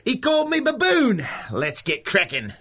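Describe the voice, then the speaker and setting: A man playing a cocky, sly rogue with a wry, deliberate delivery in a pulp radio drama. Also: extremely high pitch, wildly swooping pitch, a bit bright, full character voice. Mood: wry, measured. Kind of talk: 1930s pulp radio acting.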